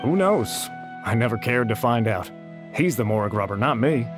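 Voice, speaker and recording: An actor playing a principled, disgruntled researcher in a video game, blunt and somewhat dismissive. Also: male voice, mid-range voice, expressive, moderate pace, music in the background, close to an everyday voice. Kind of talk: video game character acting.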